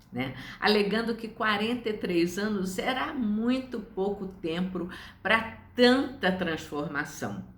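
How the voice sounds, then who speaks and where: A woman in her seventies with a Brazilian accent, giving an informal religious lecture to online viewers in a measured, didactic way. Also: medium pitch. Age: 70s. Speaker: spiritist study lecturer. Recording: echoey room.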